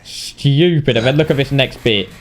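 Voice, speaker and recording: A British man giving casual, sweary, mock-indignant commentary on video game highlights. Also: normal speed. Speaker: gaming video narrator.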